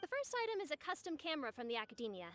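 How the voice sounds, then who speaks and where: A woman's voice: high voice, sing-song, racing, fairly bright tone, soft background music. A woman playing a polite, cheerful young festival organizer in an anime game, with courteous and formal phrasing. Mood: cheerful, businesslike.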